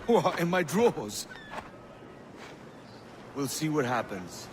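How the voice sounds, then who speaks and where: A man's voice: on the high side, very animated, takes it slowly. A male actor playing a cocky young pirate rogue in a dramatic video game. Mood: cocky, easygoing. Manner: blunt, cocky, roguish. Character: brash young male pirate rogue posing under a false name. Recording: noisy street ambience behind the voice.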